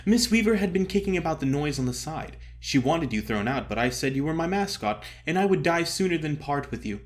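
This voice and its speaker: A man acts out a clubby male theatre star from a storybook comedy. He is affable and gently chiding, with old-fashioned chummy slang and an animated range of pitch. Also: brisk.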